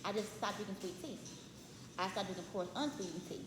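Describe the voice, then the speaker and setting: Fast-talking woman, light voice. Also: mid-range voice, noisy background. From Memphis, Tennessee. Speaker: guest, herbal tea business owner. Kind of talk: casual podcast interview. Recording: echoey room with music behind.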